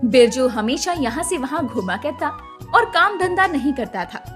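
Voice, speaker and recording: A woman's voice: high voice, animated, moderate pace, a bit bright, faint music behind. A woman working as a story narrator, reading a scripted moral tale.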